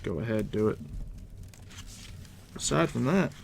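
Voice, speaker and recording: A man softly near-whispering in an ASMR roleplay as a game master, casual and unhurried with a dry, teasing manner. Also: vocal fry. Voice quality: deep, hushed near-whisper. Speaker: ASMR roleplay host, playing a dungeon master guiding a new player. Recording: steady background noise, crackling fire ambience. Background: fire crackle.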